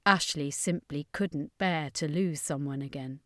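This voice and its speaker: A woman's voice: low voice, measured pace, dry recording, clean studio sound. A woman reading a scripted fiction story aloud as its narrator.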